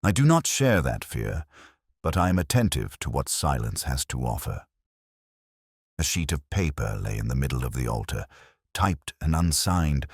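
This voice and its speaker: A brooding, world-weary male detective voiced by an actor narrates his case in a very deep voice. His delivery is measured and deliberate, with lively rises and falls in pitch. The sound is dry and close, suited to a reflective audio mystery. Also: creaky, husky, dry recording, full character voice. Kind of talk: first-person scripted mystery narration.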